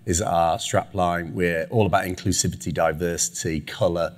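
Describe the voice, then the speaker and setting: A British man with a low voice answers questions on a conference panel, talking before a live audience. He is chatty and self-deprecating, with plenty of fillers and restarts. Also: steady tone, moderate pace. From United Kingdom. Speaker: panelist, chief digital officer.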